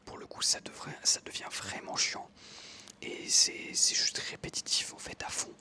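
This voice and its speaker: An ASMR creator whispering casually into a close microphone over gameplay, rambling with frequent hesitations. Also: unhurried. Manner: soft whisper, casual and rambling with many fillers.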